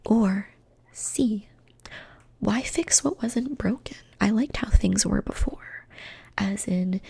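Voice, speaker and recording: A young woman whispering softly in an ASMR personality-quiz roleplay, slow and soothing, often repeating phrases. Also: medium pitch. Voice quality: breathy. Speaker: ASMR host.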